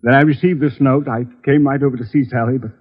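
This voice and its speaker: An actor playing a desperate, indignant husband who protests in agitation in a 1950s radio crime drama. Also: male voice, medium pitch, fairly even intonation, fast, breathy edge, lightly acted. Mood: pleading. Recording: dull old-time radio recording.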